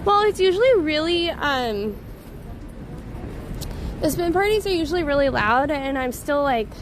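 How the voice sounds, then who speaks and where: High-voiced woman streaming on a city street. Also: expressive, a little slow. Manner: chatty, reads and answers viewer comments aloud. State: slightly strained voice. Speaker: livestream host. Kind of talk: casual live stream chat while walking. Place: outdoors on a busy city street. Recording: loud outdoor street noise. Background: street traffic.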